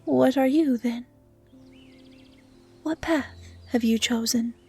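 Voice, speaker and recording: Woman playing a slow, high, whispery curious dryad. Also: faint music behind. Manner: soft, often whispery, naive and measured. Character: curious, gentle female dryad, guardian of the woods. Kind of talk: roleplay audio drama, soft intimate acting.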